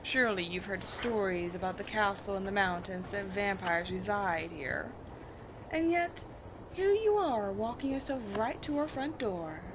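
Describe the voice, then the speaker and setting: A woman playing a haughty, commanding vampire queen in a roleplay audio drama, speaking in deliberate, theatrical phrases with disdain for humans. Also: mid-range voice, big pitch swings, background noise. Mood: cool, curious.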